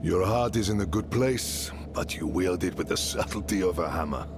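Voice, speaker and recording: A man's voice: deep voice, expressive, moderate pace. An actor playing a wry, fatherly middle-aged mercenary leader and smith in a video game. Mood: somber. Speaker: game character. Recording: game soundtrack music behind. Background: game music.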